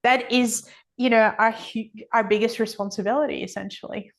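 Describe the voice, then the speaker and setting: High-voiced woman explaining earnestly. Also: steady tone, normal speed. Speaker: podcast guest, author and energy healer. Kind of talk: conversational interview, explaining at length.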